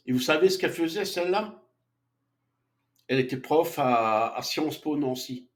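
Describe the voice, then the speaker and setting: A man's voice: on the high side, lively intonation, normal speed. A middle-aged man giving a hesitant, lecturing monologue, full of fillers and restarts. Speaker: commentator. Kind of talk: casual spoken monologue.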